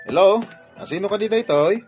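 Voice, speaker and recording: An actor playing a concerned, admonishing godfather with a husky voice in a radio drama. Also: high-pitched, brisk, soft background music, close to an everyday voice. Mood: surprised, helpful. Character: concerned, scolding godfather, older family friend. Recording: dry, muffled lo-fi radio drama.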